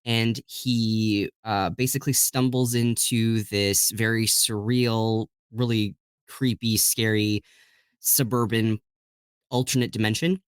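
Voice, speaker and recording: A man's voice: lowish voice, fairly even intonation, slow, smooth, no room sound, studio quality. A man talking casually and enthusiastically as a guest in an interview about his work as a voice actor. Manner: enthusiastic, chuckles while talking. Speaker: guest voice actor and audiobook narrator.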